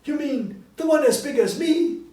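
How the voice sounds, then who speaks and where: Guy doing a surprised young boy for a storybook, voice way up high, roomy and a bit distant. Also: animated, normal speed, warm tone. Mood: surprised, eager. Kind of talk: audiobook character acting. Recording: roomy echo, distant mic, faint noise.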